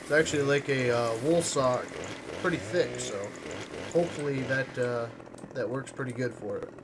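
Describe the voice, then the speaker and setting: Gravelly stuffed-up man streaming a game. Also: mid-range voice, normal speed. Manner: relaxed, rambling, chuckles often. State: stuffed up, congested. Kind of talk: casual commentary while playing. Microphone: streaming mic covered with a sock. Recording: loud game noise behind voice. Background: chainsaw and vehicle sounds from the game.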